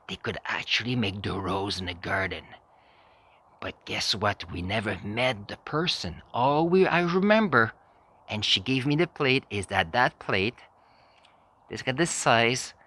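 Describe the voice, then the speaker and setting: Man telling a tall tale, halting delivery. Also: on the low side, pushed and bright, slightly rough. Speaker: amateur public speaker practicing a contest speech. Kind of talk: animated storytelling speech, tall tale.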